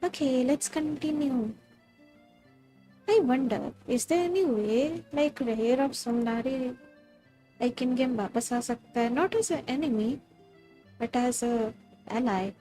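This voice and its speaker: A woman's voice: high voice, normal speed, long pauses, warm tone, soft background music. A woman with an Indian accent giving casual live gaming commentary as a streamer, switching between Hindi and English. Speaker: game streamer.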